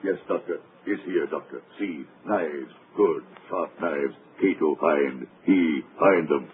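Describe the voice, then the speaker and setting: A deep simple-minded servant. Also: male voice, takes it slowly, a little hiss or hum. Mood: dull obedient. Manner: broken clipped speech. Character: hulking male servant, simple and obedient. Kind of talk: 1940s radio acting. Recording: tinny old radio broadcast with music.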